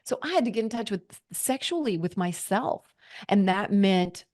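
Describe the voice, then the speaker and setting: A woman's voice: medium pitch, normal speed. A woman in her forties, a podcast guest, telling her personal story in an earnest, reflective way and acting out her own past thoughts. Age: 40s. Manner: earnest, reflective, with lively quoted inner thoughts.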